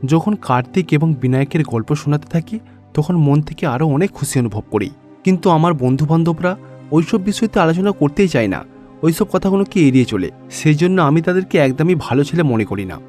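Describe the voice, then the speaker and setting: Pious, lonely cartoon schoolboy man acting, fairly high pitch, earnest, music beneath. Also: expressive, fairly quick, a little mellow, close to an everyday voice. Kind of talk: cartoon story dialogue.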